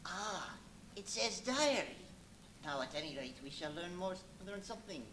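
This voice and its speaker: A fussy, nervy doctor with a lowish voice. The delivery is fretful and halting, swinging in pitch, in a live radio play. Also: female voice, big pitch swings, a bit bright. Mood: puzzled, fretful. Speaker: stage radio play actor. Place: live performance at a brewery venue. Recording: live stage recording, light noise.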